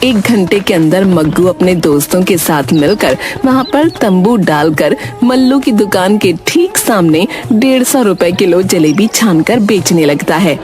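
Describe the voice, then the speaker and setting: A woman's voice: fairly low pitch, lively intonation, unhurried, few pauses, darkish tone, soft background music. A woman reading scripted narration for a children's moral story.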